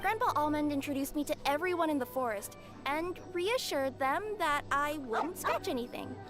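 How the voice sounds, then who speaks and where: A woman's voice: high voice, expressive, moderate pace, a bit bright. An actress voicing a perky, friendly young cat-girl courier in an anime-style video game, bubbly and chatty. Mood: delighted. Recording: game music behind the voice.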